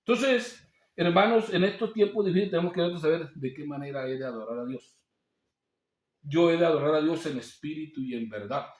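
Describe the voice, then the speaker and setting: A man's voice: fairly high pitch, brisk. A male pastor preaching a sermon, asking rhetorical questions and quoting scripture. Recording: echoey room.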